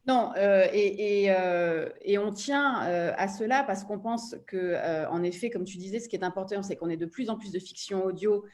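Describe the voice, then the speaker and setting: A woman's voice: medium pitch, normal speed, hardly any pauses, darkish tone. A woman speaking as a company representative in a panel discussion, explaining with hesitant phrasing. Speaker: podcast platform representative, panel guest.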